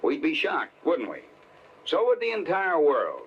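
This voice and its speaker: An actor playing a bumbling, sheepish husband and sergeant in a 1950s radio skit. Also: male voice, a little high, wildly swooping pitch, measured pace, husky, exaggerated voice. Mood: reciting earnestly. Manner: stammering, bumbling. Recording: muffled vintage radio, faint noise.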